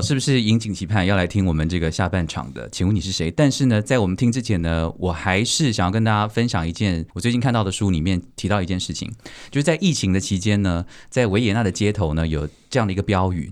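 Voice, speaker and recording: A man with a Taiwanese Mandarin accent hosting a casual panel chat in a playful, teasing, expressive way. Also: low voice. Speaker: podcast host.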